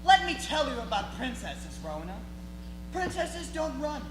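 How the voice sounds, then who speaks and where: Young woman as spoiled haughty prince, high voice, big pitch swings, condescending, whiny, stage theatre, echoey hall. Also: very animated, measured pace, background noise. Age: teenager. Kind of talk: theatrical readers theatre performance. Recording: echoey hall with loud music.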